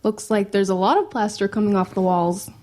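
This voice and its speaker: A woman's voice: medium pitch, steady tone, brisk, darkish tone, natural voice. A woman playing a sardonic goth teenage girl in an audio drama, dry and conversational. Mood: sullen, sarcastic. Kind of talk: audio drama narration and dialogue.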